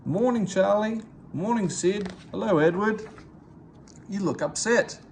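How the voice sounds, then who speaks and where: A man's voice: sits high, unhurried, vocal fry, a little mellow, slight background noise, pitched above the performer's own voice. A man doing a jolly, kindly vicar. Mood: cheerful.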